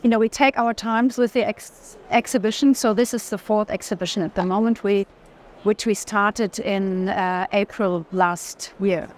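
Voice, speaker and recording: A woman's voice: mid-range voice, steady tone, moderate pace. A woman speaking as an interview guest and collector, conversational and often searching for words. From Germany. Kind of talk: unscripted conversation.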